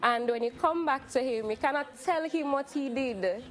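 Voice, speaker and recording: A woman with a Jamaican accent, a studio guest giving measured customer-service advice. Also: high voice. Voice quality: measured, advising.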